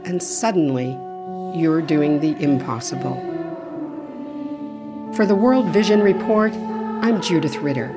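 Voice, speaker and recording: Female radio feature reporter, low-pitched voice, scripted narration with music underneath. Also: unhurried, pauses often.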